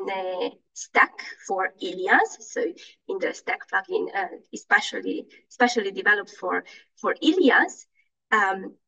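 A woman's voice: mid-range voice, a bit bright, distant mic, dull audio. A woman giving a conference talk over slides on a video call, hesitant, with many fillers and restarts; German is not her first language. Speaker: conference presenter.

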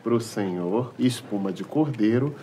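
A waiter announcing elaborate dishes with ceremony. The played clip carries a faint background hiss. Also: male voice, lowish voice, lively intonation, measured pace, dark tone. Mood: ceremonious.

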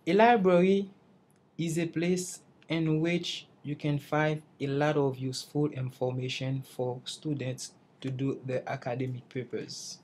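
A man's voice: fairly high pitch, level tone, measured pace, a little mellow, rough recording. A young man from Haiti, an English learner, giving a careful class presentation over slides and restarting phrases as he searches for words. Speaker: English language student presenting.

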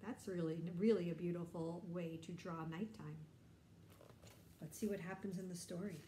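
A middle-aged woman reading a picture book aloud to children, explaining and asking simple questions in an animated way. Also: fairly low pitch, normal speed, reverberant, a little hiss or hum. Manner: animated, explanatory, addressing young listeners. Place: bright indoor room.

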